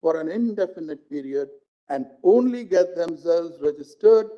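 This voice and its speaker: A man with an Indian accent, a judge reading out a legal judgment in formal, deliberate phrasing. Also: on the high side, animated, mellow. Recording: dull lo-fi live stream audio.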